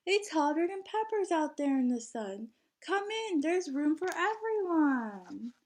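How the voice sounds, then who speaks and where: A woman's voice: high voice, very animated, measured pace, pitched above the performer's own voice. A woman voicing a cheerful man who drives a minibus in a picture book, calling out invitations to passengers. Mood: welcoming call.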